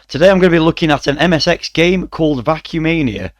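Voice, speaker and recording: A middle-aged man with a croaky voice, reviewing a retro game with dry, wry asides. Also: on the high side, lively intonation, quick pace, no room sound. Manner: dry, mildly wry asides with frequent "uh" fillers. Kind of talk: relaxed spoken review.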